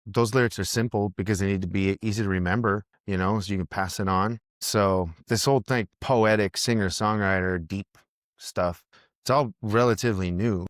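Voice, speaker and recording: A man's voice: fairly low pitch, fairly even intonation, normal speed, no room sound, studio quality. An American man hosting a casual podcast conversation, rambling with many restarts and self-corrections. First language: English. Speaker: podcast host.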